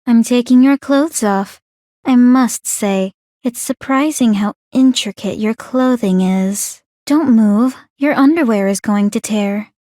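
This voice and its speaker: An actress voicing a calm, clinically curious young woman in a video game, speaking in a formal, measured, coolly teasing way. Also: on the high side, no room sound, studio quality. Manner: composed, measured, formal, inquisitive. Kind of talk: scripted video game character voice lines.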